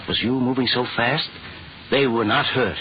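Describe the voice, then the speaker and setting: A man's voice: mid-range voice, steady tone, moderate pace, lightly acted. An actor playing a fervent, vengeful henchman hungry for power, in intense old-time radio style. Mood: accusing. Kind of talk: 1940s radio acting. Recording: muffled, noisy old radio broadcast.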